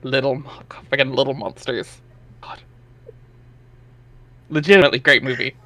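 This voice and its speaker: A man's voice: medium pitch, fairly even intonation, normal speed, sharp tone. A man narrating a comic read-aloud in a casual way, chatting between lines, on a headset mic. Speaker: narrator of a webcomic dramatic reading.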